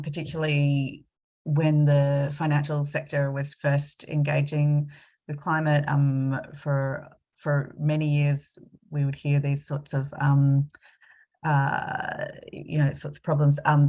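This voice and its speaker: A woman's voice: low-pitched, vocal fry, darkish tone. A woman presenting a talk with slides, hesitant with many ums and restarts, a bit sniffly from a cold. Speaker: climate consultant presenting a talk.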